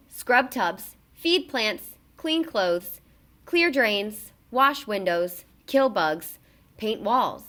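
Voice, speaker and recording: A woman reading a children's book aloud in an expressive, emphatic way, as a narrator for young listeners. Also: a little high.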